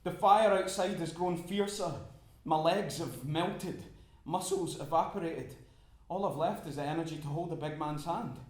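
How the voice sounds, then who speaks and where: An actor playing a desperate young Scottish student worker who laughs and shouts through his panic. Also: fairly high pitch, moderate pace, reverberant, close to an everyday voice. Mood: strained. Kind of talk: dramatic monologue acting.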